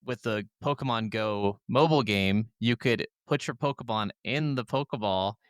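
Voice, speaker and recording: A man with a lowish, smooth voice talks casually as a podcast host. His delivery is informal and enthusiastic, and the sound is dry and clean. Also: moderate pace, fairly bright tone, no echo. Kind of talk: casual chat about video games.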